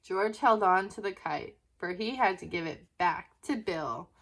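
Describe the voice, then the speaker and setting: A woman narrating a children's picture book in an expressive way, with question-asking asides to young listeners. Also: medium pitch, a little slow. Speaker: children's story reader.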